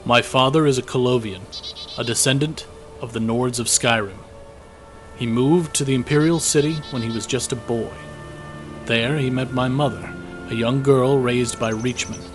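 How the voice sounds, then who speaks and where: Fantasy drama conscript, young man male actor: medium pitch, guilt-worn, reflective diary delivery, prominent musical score behind. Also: fairly even intonation, moderate pace, over music, lightly acted. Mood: solemn. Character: weary, guilt-ridden young male imperial conscript soldier. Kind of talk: scripted fantasy audio drama journal narration.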